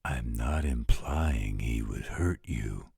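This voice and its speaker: Man voicing a gravelly, very deep werewolf guardian. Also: a little mellow, dry recording, clean studio sound, soft background music, heavily acted. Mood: earnest, awkward. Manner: slow, measured, careful explaining. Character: overprotective male werewolf guardian, earnest and fatherly. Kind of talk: audio roleplay acting.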